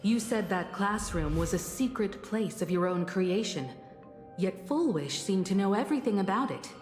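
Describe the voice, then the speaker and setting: An actress playing a composed, stern woman navigator in a video game, deliberate and confrontational. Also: mid-range voice, lively intonation, a little mellow, lightly acted. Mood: cold, accusing. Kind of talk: dramatic video game acting. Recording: echoey hall reverb. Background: music.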